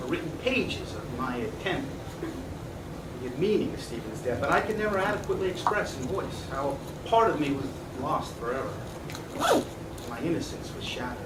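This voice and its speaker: A man's voice: mid-range voice, natural voice. An actor as a grieving middle-aged man, distant and noisy. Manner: earnest, halting, solemn. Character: grieving fifty-something man, haunted by friend's death. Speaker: stage actor. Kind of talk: live stage play acting, dramatic monologue. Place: theatre stage indoors. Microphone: far camera. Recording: roomy, distant, noisy theatre recording.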